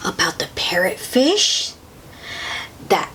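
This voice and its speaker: Slow-paced woman reading aloud to children. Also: lowish voice, huge pitch swings, fairly bright tone, breathy edge, whispered. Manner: child-directed, asks the listener questions, exclaims in wonder. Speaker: host reading picture books aloud to children. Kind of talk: children's read-aloud with simple commentary and questions.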